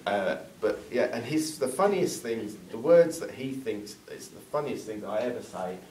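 A man's voice: lowish voice, lively intonation, normal speed, darkish tone, slight background noise. A young man, a folk musician, telling a self-deprecating story between tunes with chuckles along the way. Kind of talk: casual stage banter between tunes. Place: small arts venue hall with seated audience. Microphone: far camera. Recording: roomy hall from far off, audience.

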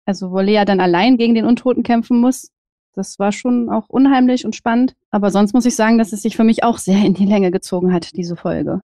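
A woman's voice: mid-range voice, brisk, dark tone, no echo, studio quality. A woman chatting casually as a panelist giving her opinions on audio dramas.

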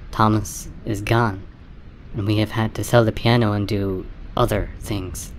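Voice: medium pitch, steady tone, unhurried, natural voice. An actor playing a grieving, defiant wife and mother in a radio play, solemn, poetic and impassioned. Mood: weary grief. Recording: noisy ambience around the voice. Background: ambient noise.

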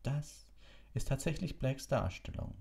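A man acts out an old headmaster from a storybook, composed and authoritative. The voice is creaky and lifted in pitch, courteous yet firm. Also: lively intonation, unhurried, dark tone, heavily acted. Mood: calm.

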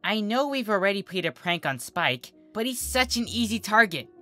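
A narrator voicing an excitable, caring young female pony prankster with a bubbly, emphatic manner. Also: mid-range voice, moderate pace, soft background music, voice pushed up. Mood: giggly.

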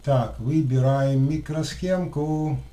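A man in his thirties with a lisp, giving casual, unhurried hands-on commentary as he works at a desk. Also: fairly high pitch, warm tone. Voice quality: lisping after dental work. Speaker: electronics repair technician and video host. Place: small room at a desk, indoors. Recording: roomy echo with clear background noise.

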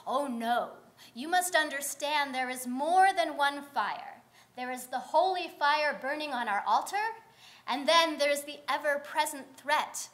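A young woman rabbi delivers a measured sermon from a lectern, her voice high and clear. She reasons aloud and poses questions to the congregation. The sound is picked up at a distance in a large wood-paneled sanctuary. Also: fairly bright tone. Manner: thoughtful, measured preaching with rhetorical questions. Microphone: far fixed camera, lectern mic.

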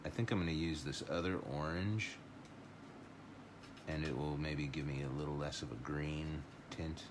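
A middle-aged man teaching a watercolor lesson live, thinking aloud in an unhurried way as he paints at a table. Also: rumbling low, fairly even intonation, background noise. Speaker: art teacher.

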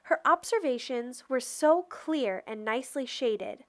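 A woman reading a children's picture book aloud with careful, expressive storytelling delivery. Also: sits high, unhurried, no echo. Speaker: storybook reader.

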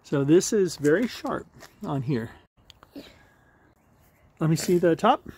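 A man's voice: a little high, animated, takes it slowly. A young man, a father, patiently talks his small son through using a new tool outdoors in a backyard, recorded on a head-mounted camera. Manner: patient, gently instructing a child. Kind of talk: casual family vlog chat. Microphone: head-mounted action camera. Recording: outdoor camera with clear background noise.

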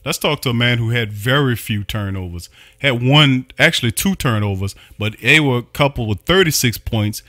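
A man's voice: medium pitch, expressive, moderate pace, pushed and bright, no room sound, studio quality. A man hosting a sports podcast, reading off game stats in an upbeat recap. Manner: reads off stats, upbeat hype.

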